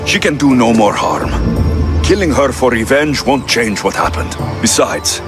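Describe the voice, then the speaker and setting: Calm sure-of-himself ancient greek merc for a game cutscene, direct, lively pitch swings, music behind. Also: male voice, unhurried. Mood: calm, reassuring. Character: Alexios, confident Greek mercenary man, calm and compassionate. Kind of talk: video game cutscene dialogue.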